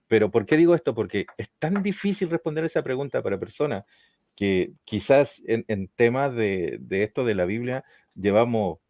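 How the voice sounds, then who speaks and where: A man in his mid-forties with a fairly low voice and a dry, close sound. He interviews in a casual, conversational way. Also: a little mellow, dry recording. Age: mid 40s.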